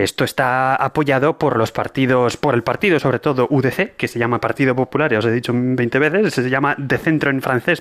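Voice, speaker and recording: A man talks through a solo podcast episode in a casual, conversational way. He often corrects himself mid-sentence and drops in wry asides. His pitch sits in the ordinary middle range for a man. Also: a bit bright. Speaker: podcast host.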